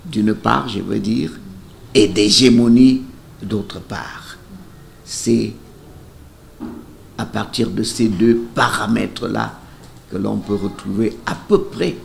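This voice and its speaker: Male expert interviewee, unhurried professorial emphasis, gravelly fry, light background noise. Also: on the low side. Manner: deliberate, emphatic, professorial phrasing. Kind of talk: explanatory interview commentary. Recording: faint ambient background noise.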